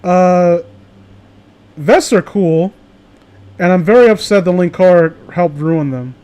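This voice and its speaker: Very high-pitched, rambling man chatting. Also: slow pace, a little mellow, smooth, lo-fi sound. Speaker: host of a live group stream. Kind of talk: casual chat.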